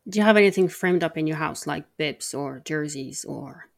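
A woman's voice: low-pitched, moderate pace, creaky, no room sound, clean studio sound. A woman podcast host who asks brief, friendly questions and then reads a closing sign-off. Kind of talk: interview and scripted outro.

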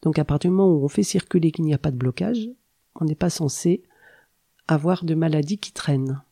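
A woman in middle age talks in a warm, mellow voice, unhurried and teacherly. A light cold leaves her a little congested. She pauses often to gather her thoughts while explaining. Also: low voice, breathy edge. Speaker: guest, traditional Chinese medicine practitioner. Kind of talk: explanatory interview talk.

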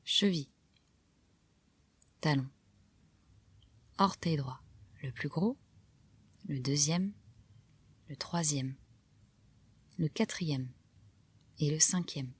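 Slow, low-pitched woman guiding relaxation. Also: husky. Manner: calm, soft, measured instructions with pauses. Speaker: yoga nidra guide. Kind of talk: guided relaxation meditation narration.

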